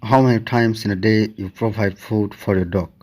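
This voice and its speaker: A man, speaking simple, halting English with many short okays. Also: on the low side, steady tone, a little mellow, a little hiss or hum. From Bangladesh. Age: 20s. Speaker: English learner. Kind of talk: casual conversation practice.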